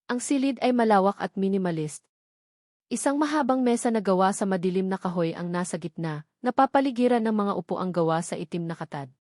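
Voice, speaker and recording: A woman's voice: medium pitch, darkish tone, no echo. Woman narrating drama, dry close sound. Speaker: story narrator.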